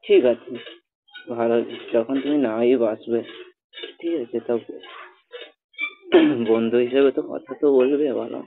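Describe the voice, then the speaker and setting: A man's voice: medium pitch, fairly even intonation, measured pace, creaky, pauses often. A young man on a phone call, defensive and dismissive, often cutting in with short replies. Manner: defensive, dismissive, repeats "ঠিক আছে". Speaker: caller in a phone argument. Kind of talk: phone argument. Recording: tinny phone call recording.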